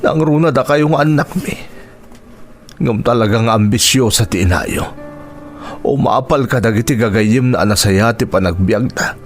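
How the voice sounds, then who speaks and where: A man's voice: medium pitch, normal speed, faint music behind, lightly acted. A man acting a weary, sorrowful poor father in a Filipino radio drama, plaintive, with a Filipino accent. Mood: aggrieved, sad.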